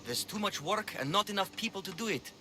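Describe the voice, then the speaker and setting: A man's voice: high voice, animated, fast, noisy background. A man playing an overworked, ruthless pirate lieutenant in a video game, blunt and world-weary. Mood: harried. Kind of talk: video game cutscene acting.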